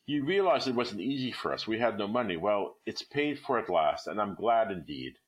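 A man's voice: on the low side, lively intonation, normal speed, dull audio, voice pushed up, lightly acted. A man voicing a hard-worn poor woman who earnestly confesses her long years of debt, in a read-aloud story.

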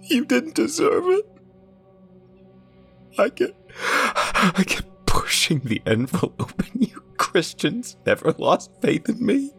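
A man doing a crude remorseful god, pitched high. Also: huge pitch swings, slow pace, creaky, a bit bright, slightly rough, faint music behind, full character voice. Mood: rueful. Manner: blunt, profane, apologetic. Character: remorseful crude male deity confessing.

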